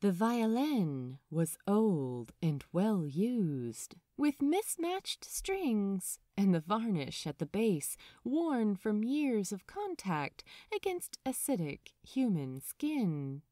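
A woman narrating scripted fan fiction as an audiobook reader, in a measured, expressive way with deliberate pauses. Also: medium pitch, dry recording, studio quality.

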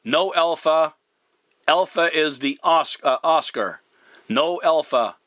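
Bright-voiced man on a CB radio. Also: a little high, dry recording. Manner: courteous, formal, deliberate repeating. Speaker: CB radio operator. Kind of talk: radio contact talk, spelling in phonetic alphabet.

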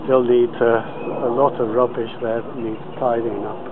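A man giving an informal video tour commentary outdoors as a gardener, pausing often mid-sentence. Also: medium pitch, level tone. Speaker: allotment gardener filming a tour. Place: outdoors on an allotment. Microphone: handheld camera held by the speaker. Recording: muffled lo-fi camera audio, outdoor noise.

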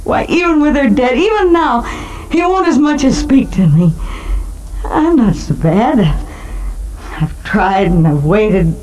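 An actress playing a young, jilted and nervy gangster's moll in a 1950s radio noir drama, slangy and emotional. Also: female voice, a little high, lively intonation, a little slow, darkish tone. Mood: hurt. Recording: noisy old 1950 radio recording.

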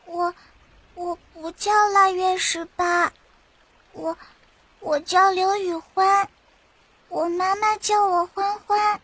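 一名女性饰演一个胆怯不安的小学女生，说话吞吞吐吐。. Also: female voice, very high-pitched, little pitch movement, fairly bright tone, no room sound, heavily acted. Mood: timid, halting. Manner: hesitant, halting. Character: timid little schoolgirl, anxious and gentle.

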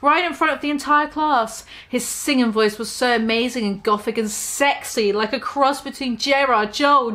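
A woman's voice: sits high, pushed and bright. A woman in her twenties giving an exaggerated, sarcastic mock-dramatic reading of fan fiction, recorded in a small room. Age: 20s. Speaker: vlogger reading aloud.

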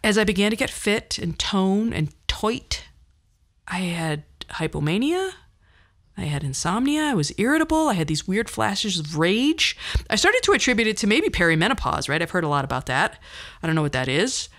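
A woman's voice: mid-range voice, big pitch swings, moderate pace, fairly bright tone. A woman in her fifties hosting a podcast, telling personal stories in a conversational, wry, self-deprecating way. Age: 50s. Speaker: podcast host. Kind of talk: personal storytelling monologue.

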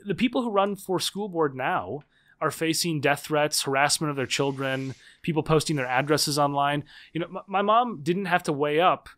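A man in his thirties, a guest author in a conversational interview, explaining in quick, animated bursts with frequent restarts. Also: fairly high pitch. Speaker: guest, political scientist and author.

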